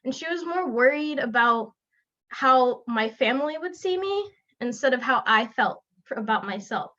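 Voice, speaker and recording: A woman's voice: high voice, expressive, pushed and bright. Earnest young woman telling her story. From South Florida. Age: young adult. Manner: earnest, with pauses and restarts. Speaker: student speaker. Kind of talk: personal narrative speech. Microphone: video call. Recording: lo-fi online call audio.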